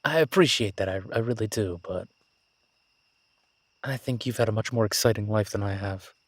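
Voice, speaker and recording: A man playing an excitable, nerdy young academic on his first jungle expedition in a roleplay audio drama, gushing, stammering and apologetic. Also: on the low side, moderate pace, no room sound, close to an everyday voice. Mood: sheepish. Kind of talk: immersive roleplay audio drama, one-sided conversation.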